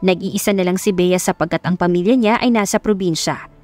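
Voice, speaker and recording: A woman's voice: lowish voice, a little fast, darkish tone, no room sound. Filipino woman narrating romance, music underneath. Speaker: audiobook narrator. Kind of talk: scripted romance story narration.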